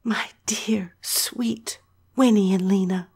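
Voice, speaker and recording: A woman's voice: medium pitch, fairly even intonation, slow, gravelly, darkish tone, slightly rough, exaggerated voice. A woman acting a tender, sorrowful motherly figure in an audio drama, speaking breathily and with emotion.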